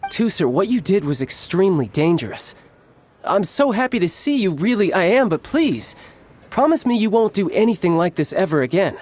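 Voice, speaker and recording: A man voicing a cocky, playful young warrior and doting big brother in a video game, smooth-talking and theatrical. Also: sits high, big pitch swings, normal speed, darkish tone, slight background noise. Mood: earnest concern. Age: young adult. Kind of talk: video game character acting. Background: music.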